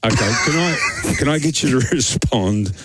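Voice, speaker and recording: A man's voice: mid-range voice, expressive, normal speed, heavy background noise. An Australian man hosting casual radio banter, teasing and probing his co-host with questions.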